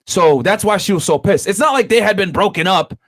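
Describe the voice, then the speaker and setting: A man's voice: sits high, animated, fairly quick, a bit bright, no room sound, clean studio sound. A man in his thirties on a podcast, giving advice straight to the viewers and calling them guys in a casual, lecturing way. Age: 30s. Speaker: podcast co-host.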